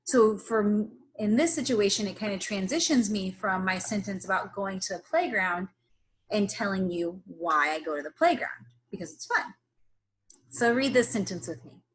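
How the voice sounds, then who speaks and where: Slow-paced woman teaching young children. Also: medium pitch, fairly bright tone, low-quality recording. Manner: instructional, encouraging, pausing for repetition. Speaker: early-grades teacher. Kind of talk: slow read-aloud phonics lesson for young children.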